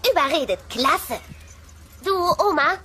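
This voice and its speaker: Very high, flippant teen dinosaur girl. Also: sing-song, unhurried, a little hiss or hum, full character voice. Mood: breezy, reluctant. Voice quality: bright, high. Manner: chirpy, flippant. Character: breezy, self-centred teenage girl dinosaur daughter. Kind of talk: dubbed puppet sitcom dialogue.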